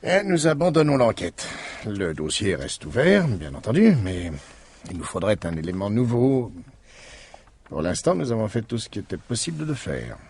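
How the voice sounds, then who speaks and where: A shrewd commissioner in a 1950s-style radio mystery. He is husky and insinuating, his lowish voice rising and falling a lot as he needles. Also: sing-song, moderate pace, darkish tone, exaggerated voice. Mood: matter-of-fact. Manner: insinuating, mock-friendly.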